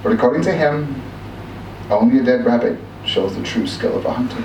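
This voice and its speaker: An aging, obsessive big-game hunter played by a man tells his tale with pompous, theatrical flair and old-fashioned words. He is boastful yet wistful, in a medium-pitched voice. The storytelling is heard from off in an echoey room with clear background noise. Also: animated, fairly quick, lightly acted. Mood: frustrated. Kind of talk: dramatic storytelling monologue read aloud. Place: live reading in a room. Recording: echoey room from a distance, noisy.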